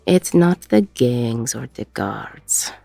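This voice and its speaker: A woman playing a weary, regretful ex-convict bar owner in an intimate audio roleplay, with a husky, gravelly voice and a reflective delivery full of pauses. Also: rumbling low, expressive, mellow, dry recording, soft background music, exaggerated voice. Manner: slow, reflective, pausing mid-sentence.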